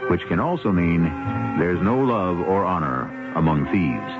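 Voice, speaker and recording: A man's voice: low-pitched, big pitch swings, a little slow. A man hosting and narrating a radio mystery in theatrical, wry, literary phrasing. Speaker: host and narrator. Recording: muffled vintage radio broadcast. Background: music.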